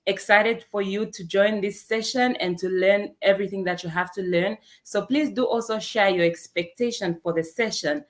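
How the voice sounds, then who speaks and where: Zambian lady hosting an online training session, enthusiastic, medium pitched voice, welcoming everyone. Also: moderate pace, few pauses. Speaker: event moderator and host. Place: online video meeting.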